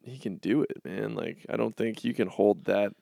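A young man co-hosting a casual podcast chat, talking in halting bursts with frequent restarts and easy laughs. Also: lowish voice, creaky, dark tone, dry recording, clean studio sound. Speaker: podcast co-host.

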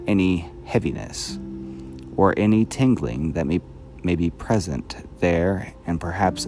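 A man reading a scripted guided meditation in a calm, measured, soothing manner. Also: sits low.

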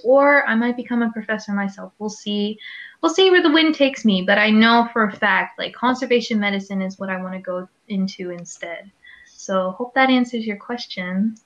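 A young woman in her twenties co-hosting a casual podcast, animated and laughing easily. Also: fairly high pitch. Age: 20s. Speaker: podcast co-host. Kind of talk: casual chat. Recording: slightly dull lo-fi recording.

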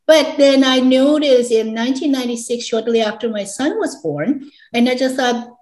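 A woman's voice: high-pitched, normal speed, darkish tone. Chatty older woman in an echoey room. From Hong Kong. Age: around 60. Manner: chatty, laughs often, many fillers and restarts. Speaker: guest, educator and entrepreneur. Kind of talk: casual interview conversation.

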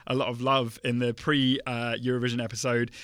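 A man's voice: medium pitch, little pitch movement, a little fast, fairly bright tone, clear, resonant tone, no echo. A man co-hosting a podcast, chatting casually and reading listener emails aloud, upbeat, laughing often, with lots of fillers. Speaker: podcast co-host.

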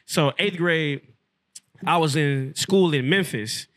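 A man's voice: a little high, slow pace, fairly bright tone, no echo. A man in his forties, a rapper chatting on a podcast in a brash, joking, laid-back way. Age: 40s. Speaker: rapper guest. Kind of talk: casual podcast chat.

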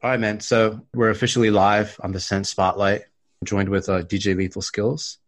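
A man with a very low, deep voice hosting a podcast. He opens with a steady scripted intro, then shifts into relaxed, friendly questions for his guest. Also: moderate pace. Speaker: podcast host. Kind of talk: podcast interview.

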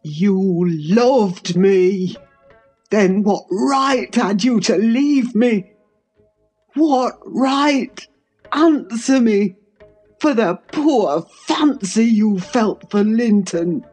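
A woman voicing an anguished, fierce young man in wild grief, bitter and accusing with heavy, slow stresses. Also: lowish voice, a little mellow.

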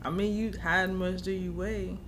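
A man's voice: high-pitched, level tone, moderate pace, fairly bright tone, slight background noise. A man co-hosting a podcast in casual chat, with a teasing, informal, slangy way of talking. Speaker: podcast co-host.

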